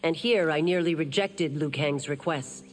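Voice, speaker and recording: An actress voicing an earnest, dutiful constable in a video game, sober in manner. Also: female voice, low-pitched, normal speed, close to an everyday voice. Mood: troubled. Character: earnest, dutiful female constable, burdened by duty. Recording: hall reverb, loud background noise.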